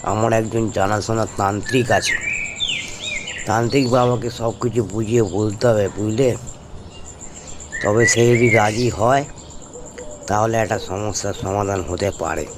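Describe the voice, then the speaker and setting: A man's voice: fairly low pitch, steady tone, moderate pace, long pauses, lightly acted. A man voicing a helpful, reassuring elder-brother figure who gives advice in a cartoon ghost story. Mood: advising. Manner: reassuring, advising. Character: Poltu-da, helpful, reassuring elder-brother village problem solver. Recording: clear background noise, birds and crickets.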